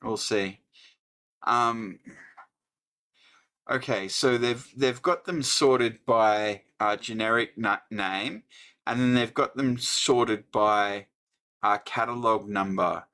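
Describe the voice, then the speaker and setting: A middle-aged Australian man hosting a casual electronics book review, thinking aloud with many pauses and restarts. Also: lowish voice, pushed and bright. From New South Wales, Australia. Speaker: host.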